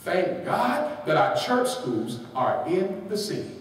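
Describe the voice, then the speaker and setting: A man's voice: sits high, very animated, slow. A man preaching a sermon, reading quotations with their page numbers and asking his congregation rhetorical questions that they answer. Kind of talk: sermon with call and response. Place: large church hall. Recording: echoey hall from far off.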